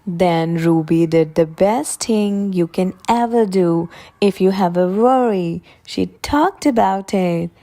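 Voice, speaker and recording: A woman reading picture books aloud for young children in a deliberate, expressive way, drawing out her words. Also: fairly low pitch. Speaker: children's story reader.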